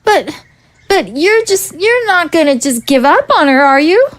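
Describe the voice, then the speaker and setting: A woman voicing a gentle, hesitant teenage girl in a survivor world. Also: extremely high pitch, very animated, a little slow, dry recording, exaggerated voice. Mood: distressed. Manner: hesitant, soft-hearted. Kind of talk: amateur audio drama acting.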